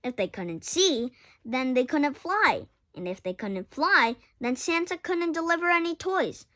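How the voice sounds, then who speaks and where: Slow-paced woman reading a children's story. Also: high-pitched. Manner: expressive, halting with stumbles and corrections. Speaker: story reader. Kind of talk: children's picture-book read-aloud.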